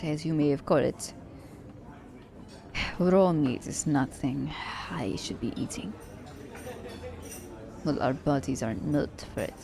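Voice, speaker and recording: This is a poised female assassin, acted out by a woman for an ASMR romance roleplay. Her voice sits deep for a woman, with a dark, relaxed tone. She phrases things formally and teases her captive with doting condescension. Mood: calm, explaining. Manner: formal, slightly stilted wording, teasing. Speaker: voice actor.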